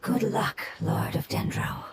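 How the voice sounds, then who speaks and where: A woman voicing an ancient, serene elemental spirit creature, breathy, formal and grave, in an anime-style video game. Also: a little slow, whispered, close to an everyday voice. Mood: solemn. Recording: loud music behind.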